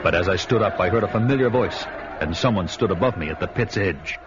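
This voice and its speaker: A man's voice: low voice, lively intonation, a little fast, heavy background noise. An actor playing a grim, battle-hardened barbarian hero who narrates his own story in the first person. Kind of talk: radio drama narration. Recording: muffled audio under loud music.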